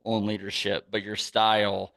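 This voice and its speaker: An American man with a fairly low voice hosts a casual interview. He speaks conversationally, with an encouraging, motivational bent, as a podcast host would. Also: monotone, moderate pace, gravelly, fairly bright tone.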